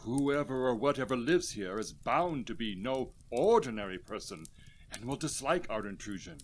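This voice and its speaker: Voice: mid-range voice, expressive, moderate pace, a little hiss or hum, close to an everyday voice. An actor playing a cautious, sensible first mate in an audio drama, with formal, theatrical delivery. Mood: wary. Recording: faint music behind voice.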